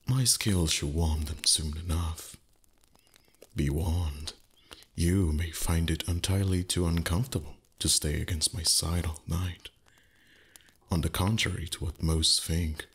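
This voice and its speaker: Casting: male actor for proud, age-old dragon in intimate roleplay audio. Deep, very raspy-breathy voice, formal phrasing, teasing disdain hiding a soft heart. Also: exaggerated voice. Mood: flustered, gruff. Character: ancient, proud dragon, gruff but secretly tender. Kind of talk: roleplay ASMR voice acting.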